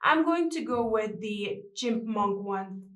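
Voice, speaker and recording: A woman's voice: mid-range voice, expressive, takes it slowly, a bit bright, echoey room. A woman narrating a step-by-step how-to tutorial as its presenter.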